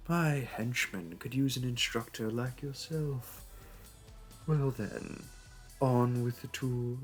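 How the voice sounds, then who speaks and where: A man voices a smooth-talking, flirty supervillain for an audio roleplay. He takes his time, drawing words out in a theatrical, teasing purr at an ordinary male pitch. Soft music plays underneath. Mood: admiring, flirty. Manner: teasing, purring, theatrical, drawn-out delivery.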